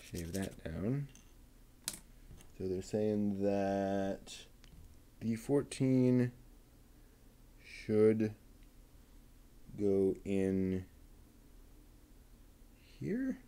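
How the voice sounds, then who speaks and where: A man quietly talking himself through assembling a plastic model kit, half-mumbling as he works. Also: fairly low pitch, slight background noise. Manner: mumbling to himself while working, unhurried. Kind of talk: muttered build commentary.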